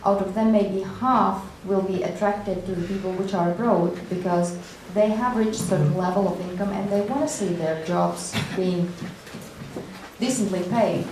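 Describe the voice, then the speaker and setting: A woman explains her points as a panelist in a measured manner. She sounds far from the microphone in a strongly reverberant room, with her voice at an average pitch. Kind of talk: explanatory panel discussion speech. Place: indoor panel discussion room. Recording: distant echoey room, faint noise.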